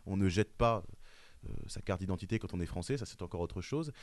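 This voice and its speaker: Insistent young male debater, rapid delivery, dry close sound. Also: low voice, animated, a little mellow, no echo. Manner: insistent, rising when challenged. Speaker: debate guest. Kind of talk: heated debate.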